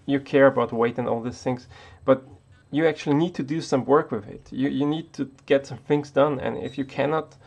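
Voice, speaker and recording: A man's voice: medium pitch, steady tone, moderate pace, creaky, few pauses, darkish tone. A man from Austria, not an English speaker, talking unscripted to the camera as a tech YouTuber, hesitant with many restarts.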